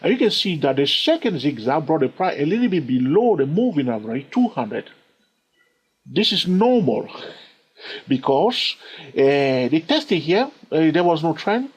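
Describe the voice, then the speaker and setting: A man teaching a chart lesson on trading, constantly checking in with the listener with quick questions. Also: a little high, husky. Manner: animated, keeps asking the listener "yes or no?" and "okay?". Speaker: trading instructor. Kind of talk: screen-recorded chart lesson. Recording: dull lo-fi recording.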